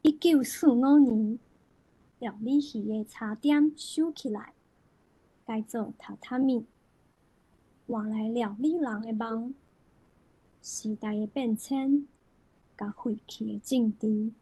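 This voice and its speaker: A woman with a Taiwanese accent recites literature expressively. She takes it very slowly, in a fairly high voice with a mellow, husky grain. The sound is close and dry. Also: no echo, rough recording. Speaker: poetry reader.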